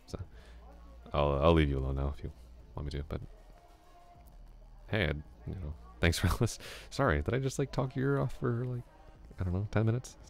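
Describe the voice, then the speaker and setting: A man playing a deep-voiced rambling young classmate. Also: a little fast, vocal fry, soft background music. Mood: musing. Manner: rambling, thoughtful, soft-spoken with chuckles. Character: chatty young male classmate, musing and friendly. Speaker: ASMR roleplay voice actor. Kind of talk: ASMR roleplay.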